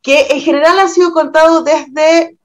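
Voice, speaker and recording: A woman's voice: high-pitched, steady tone, moderate pace, highs cut off. A woman with a Chilean accent hosting a radio interview in a conversational, reflective way, often checking for agreement with short tag questions. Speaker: radio host.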